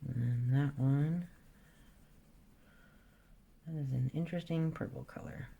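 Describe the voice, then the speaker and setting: Woman in her 40s, gravelly low-key voice, muttering to herself about colors as she draws. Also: a little slow, lo-fi sound. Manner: chatty, thinks aloud, trails off mid-sentence; relaxed, self-talk about the colors. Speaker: art vlogger. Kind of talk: casual chat while drawing.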